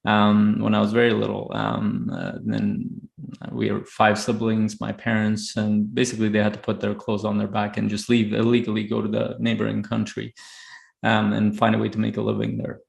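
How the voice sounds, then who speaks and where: A man from Afghanistan telling a personal story reflectively as a guest, speaking haltingly with many filled pauses. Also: fairly low pitch, completely flat delivery, vocal fry. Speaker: podcast guest, high-performance coach. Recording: roomy, echoey sound.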